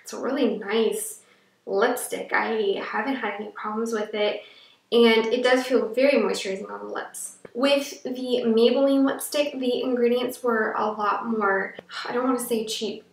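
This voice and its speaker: A young woman with a froggy, allergy-roughened voice, chatting through a casual makeup review to camera, a little rambling with fillers. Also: a little high, steady tone, normal speed. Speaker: beauty vlogger. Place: small room indoors. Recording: echoey room, a little far from the mic.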